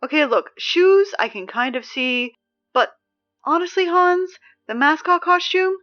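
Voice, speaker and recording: A woman's voice: very high-pitched, unhurried, muffled recording, soft background music. An actress playing a teenage girl who reads school announcements over the speakers, dry and exasperated. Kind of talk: audio drama reading school announcements.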